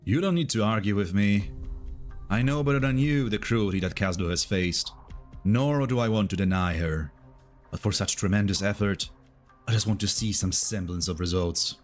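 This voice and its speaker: A man voicing a stern, disillusioned mercenary commander in a dramatic game dub, speaking gravely and deliberately. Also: lowish voice, faint music behind, close to an everyday voice. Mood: grave, resolute.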